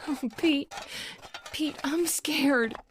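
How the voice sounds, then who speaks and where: An actress playing a frightened, hysterical young woman who pleads and whimpers haltingly in old-time radio horror style. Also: sits high, slight background noise. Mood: pleading, frightened.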